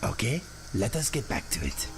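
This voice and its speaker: A man voicing a quirky, amiable cat-man companion in a video game, with a gravelly voice. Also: lowish voice, very animated, moderate pace, over music.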